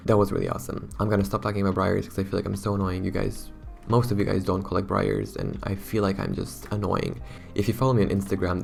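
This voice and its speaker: A young man, hoarse from losing his voice, chatting casually and rambling to his viewers as a YouTuber. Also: sits low, a little mellow. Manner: chatty, rambling, self-conscious. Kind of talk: casual talk to camera.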